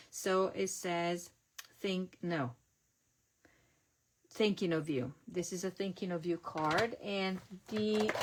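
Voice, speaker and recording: A middle-aged woman from Italy with an Italian accent, chatting casually through a stamping and card-making class as she works. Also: lowish voice. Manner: chatty, narrates each step, self-correcting. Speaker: crafting demonstrator. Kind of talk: casual online craft class.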